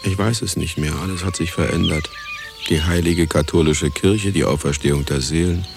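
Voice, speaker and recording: Radio drama casting: jaded older male scientist, deep and creaky, wry, dismissive, reflective, music underneath. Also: fairly even intonation, normal speed. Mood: regretful, reflective. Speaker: actor and first-person narrator in a radio play. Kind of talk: radio play acting, narrating to the listener. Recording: studio radio play with music behind.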